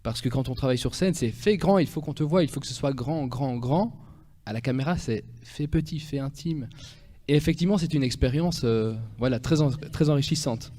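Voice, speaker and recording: A man from Belgium with a Belgian French accent, a guest talking in a relaxed, chatty way on a radio interview. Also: medium pitch, brisk, long reverb tail. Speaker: guest, pianist and actor.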